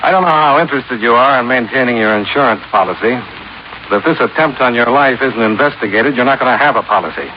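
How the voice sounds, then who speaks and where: An actor playing a tough, unflappable insurance investigator in a radio drama, terse, wry and hard-boiled. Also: male voice, medium pitch, fast talker, lightly acted. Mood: firm, defiant. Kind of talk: 1950s radio drama acting with scripted narration. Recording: muffled, noisy old radio recording.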